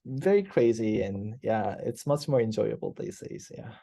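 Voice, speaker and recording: Young Korean grad-student type, low mellow voice, halting, lots of ums, headset call audio. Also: male voice, lively intonation, creaky. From South Korea. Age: 20s. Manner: hesitant, many fillers and restarts, laughs easily. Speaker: PhD student guest. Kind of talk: casual interview chat. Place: office, indoors. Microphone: headset on video call.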